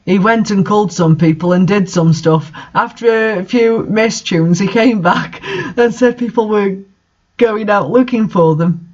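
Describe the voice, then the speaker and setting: A man with a high voice reads amateur fiction aloud in a mocking way. He breaks off for incredulous, exasperated commentary. The recording is dull and lo-fi. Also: unhurried. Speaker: fan fiction reader and commentator. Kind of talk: mocking dramatic reading with commentary.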